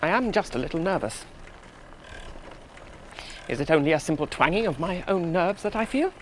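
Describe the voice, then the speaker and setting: A man acts a young British officer in an old radio drama, lightish in pitch and very lively in intonation. He muses earnestly and precisely, nervous but reasoning himself calm, over faint noise. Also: moderate pace, natural voice. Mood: uneasy musing. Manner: earnest, precise, musing, self-reassuring. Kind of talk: 1970s radio play monologue.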